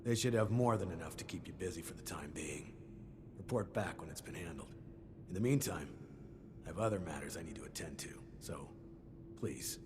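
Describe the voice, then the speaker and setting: A man's voice: low voice, fairly quick, noisy background, natural voice. An actor playing a stern male security chief who hands out chores in a clipped, businesslike way. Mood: curt.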